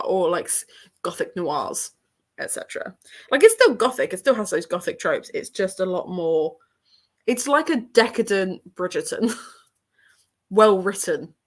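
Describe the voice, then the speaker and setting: A woman's voice: fairly low pitch, a bit bright. A woman with an English accent hosting a casual book livestream, chatty and rambling with a sore throat, talking from a room at her parents' home. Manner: chatty and rambling, with frequent laughs. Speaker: book channel host.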